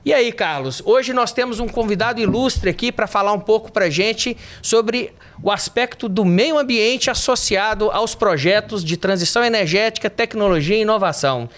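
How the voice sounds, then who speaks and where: A man hosting a podcast, opening the episode and asking the guest questions in a friendly, sometimes halting way. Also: high voice, fairly bright tone. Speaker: podcast host. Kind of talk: podcast introduction and interview questions.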